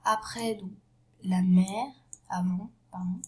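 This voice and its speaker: A woman with a cold talks through a story as she sets out her props, casual and unscripted. She stumbles and restarts, leans on fillers, and keeps sinking into a hushed, breathy half-whisper. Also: mid-range voice, steady tone, slow pace. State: has a cold. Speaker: vlogger retelling a story.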